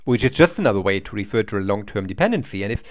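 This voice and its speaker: A man's voice: on the low side, expressive, very fast. A middle-aged man giving a recorded university lecture over slides, explaining step by step and often restarting words.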